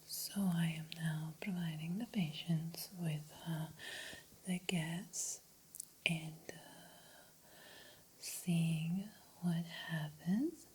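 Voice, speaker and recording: A woman with an Italian accent playing an uneasy doctor confessing in a breathy, halting ASMR roleplay whisper. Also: low voice, fairly even intonation, a little mellow, exaggerated voice, low-quality recording. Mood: resigned. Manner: slow, halting, hesitant. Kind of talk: soft-spoken ASMR roleplay.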